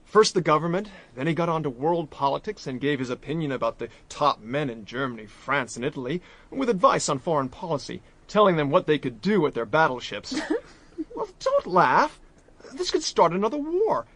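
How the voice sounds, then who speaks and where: An excitable young diplomat in a radio mystery. His voice sits fairly high and swings widely in pitch. He is emphatic and quick to heat up. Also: heavily acted. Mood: animated, rueful. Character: hot-headed, injured young diplomat aboard an ocean liner. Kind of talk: period radio mystery drama acting.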